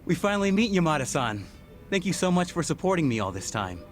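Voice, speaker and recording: Young male innocent, twenties, game cutscene; lighter register, animated, well-mannered and sincere. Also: a little high, fast, faint music behind. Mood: eager, grateful. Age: 20s. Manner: polite, earnest. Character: polite, naive, grateful young man in his twenties. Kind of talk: video game cutscene dialogue.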